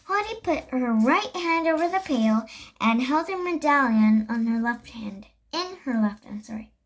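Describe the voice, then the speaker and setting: High young voice, child reader, slow pace, halting storybook read-aloud, self-correcting. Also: animated. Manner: halting, stumbles and corrects words.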